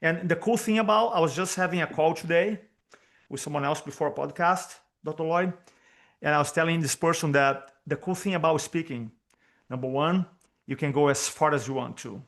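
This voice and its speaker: A man's voice: on the high side, a little fast, fairly bright tone. A man from Brazil with a Brazilian Portuguese accent, whose first language is Portuguese, talking energetically as a speaking coach on a video call and repeating key points for emphasis. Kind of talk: interview guest on video call.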